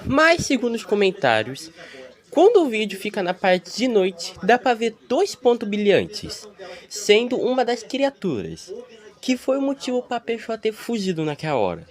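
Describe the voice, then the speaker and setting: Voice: mid-range voice, sing-song, normal speed. A narrator with a Brazilian accent giving a casual, explanatory commentary voice-over as a video creator.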